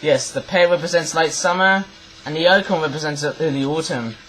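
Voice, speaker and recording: Croaky young man rambling. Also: fairly high pitch, brisk, fairly bright tone, slight background noise, poor audio. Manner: rambling, repeats himself, many fillers and restarts. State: croaky voice, coughing. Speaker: vlogger reviewing craft toys. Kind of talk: casual rambling review. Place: cluttered bedroom, indoors. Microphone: webcam at desk.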